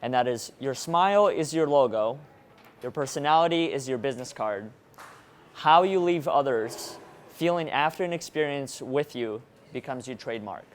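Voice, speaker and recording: A man's voice: medium pitch, expressive, normal speed, slight background noise. A man born in Russia giving a motivational presentation to a live audience, engaging the listeners with questions. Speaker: motivational speaker.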